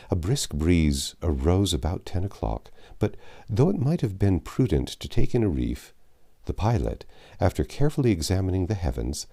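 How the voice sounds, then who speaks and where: A man narrating a classic adventure novel aloud, with measured, dramatic phrasing and some drawn-out words. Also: low-pitched, dark tone. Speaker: audiobook narrator.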